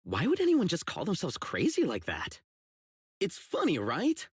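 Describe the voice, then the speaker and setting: A man's voice: fairly high pitch, huge pitch swings, moderate pace, breathy edge, no room sound, studio quality, full character voice. An actor playing a blunt, earnest young policeman who is socially oblivious, in video game voice lines. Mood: puzzled, indignant. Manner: blunt, earnest, literal-minded. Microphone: close studio mic, isolated dry voice track.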